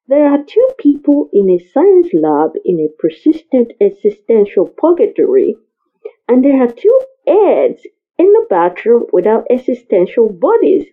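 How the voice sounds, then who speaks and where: A woman voicing a male doctor who interrogates a colleague with pressing questions, heard over a tinny phone-like line. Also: a little high.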